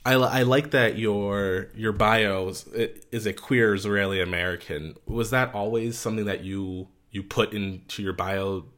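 A man hosting an interview, talking in a halting, rambling way with many restarts. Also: on the low side, fairly even intonation, a bit bright. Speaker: interviewer, host. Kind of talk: casual interview conversation.

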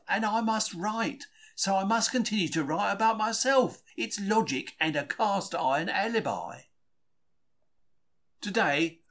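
High, lively Cockney diarist acted by a man. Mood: reflective, chatty. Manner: colloquial, self-regarding, plain-spoken, exclamatory. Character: quaint, chatty Cockney male bookseller diarist of the 1940s. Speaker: reader of a diary. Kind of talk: dramatised first-person diary reading.

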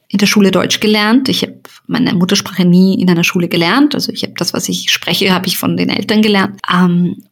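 Husky-voiced woman hosting a podcast. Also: on the low side. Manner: thoughtful, searching, with many fillers. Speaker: podcast host and journalist. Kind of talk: personal reflective conversation.

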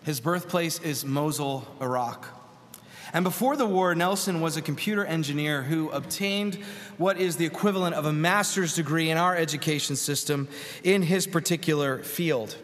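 A middle-aged man preaches a sermon in a church sanctuary, telling a story earnestly with pauses and repeated words. His voice sits somewhat high for a man. The hall around him lets each phrase trail off in a long reverb. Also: fairly bright tone. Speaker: pastor.

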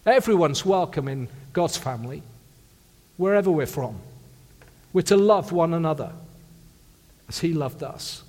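A man from South Wales with a South Welsh accent, preaching an earnest sermon that swings from loud emphasis to hushed intimacy. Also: a little high, lively intonation, unhurried, long pauses. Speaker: pastor. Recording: long reverb of a large hall.

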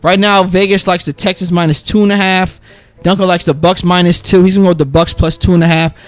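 A man hosting a casual solo football podcast, raspy and under the weather, rambling loosely with lots of fillers and restarts. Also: sits high, flat delivery, quick pace. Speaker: podcast host making football picks.

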